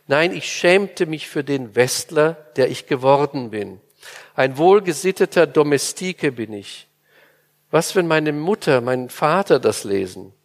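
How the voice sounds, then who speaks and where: A man's voice: a little high, animated, unhurried, in a large hall. A man reading the lines of a bitter, brooding exiled male poet in an audiobook.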